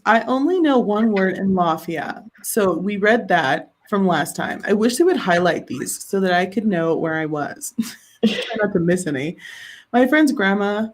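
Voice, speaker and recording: A chatty woman hosts a casual live stream and reads viewer comments out loud. She laughs easily between remarks and keeps the tone relaxed and friendly. Her voice sits at an ordinary pitch. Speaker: livestream host of writing sprints.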